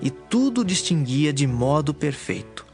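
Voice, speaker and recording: A man giving formal, measured scripted narration for a dramatized audio Bible. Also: on the high side, very animated. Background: music.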